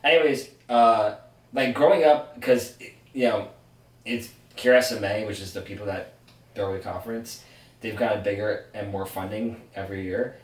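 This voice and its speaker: A man's voice: on the low side, normal speed. A man in his twenties co-hosting a podcast, chatting casually in a rambling, self-correcting way. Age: 20s. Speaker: podcast co-host. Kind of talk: casual sibling chat. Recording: echoey room, distant mic.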